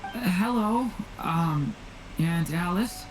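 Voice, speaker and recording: Polite hesitant dragon boy in anime game, soft-mannered, lively intonation, music underneath. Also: fairly low pitch, slow pace, low-quality recording. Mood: shy, puzzled. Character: gentle, shy young dragon boy in human form.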